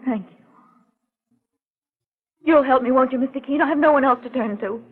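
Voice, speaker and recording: A tinny 1940s radio recording of an anxious young lady. She sounds fairly high and husky, pleading earnestly and hesitantly in vintage radio-drama style. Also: normal speed. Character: frightened, pleading, well-mannered young lady. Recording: thin, band-limited 1940s radio recording.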